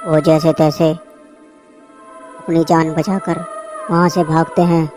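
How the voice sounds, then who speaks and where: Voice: mid-range voice, level tone, slow pace, over music. A narrator reading a scripted horror story.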